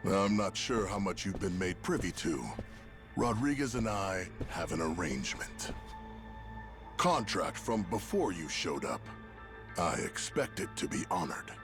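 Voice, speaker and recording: Low-voiced, haughty crime boss. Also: male voice, big pitch swings, measured pace. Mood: cold, insistent. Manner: smooth formal menace, condescending. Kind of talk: dramatic video game voice acting. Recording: game music behind.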